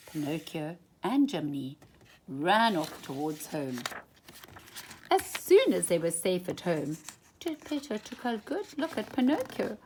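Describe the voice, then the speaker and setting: A woman storyteller reading a children's storybook expressively, with deliberate, dramatic emphasis. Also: fairly low pitch, a little mellow, a little hiss or hum.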